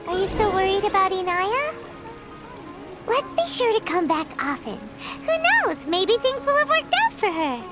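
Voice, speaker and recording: A woman voicing a tiny, chirpy female companion creature in a video game, with a high, squeaky, bubbly voice. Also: big pitch swings, normal speed, pauses often. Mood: cheerful. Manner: bubbly, bouncy. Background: loud game music.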